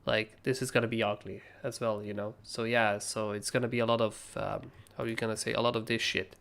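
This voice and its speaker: Hoarse, gravelly young man rambling. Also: lowish voice, little pitch movement, a little fast, a bit bright. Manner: loose, rambling, swears casually. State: hoarse, raspy voice. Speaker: crypto trading livestream host. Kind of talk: casual live chart analysis.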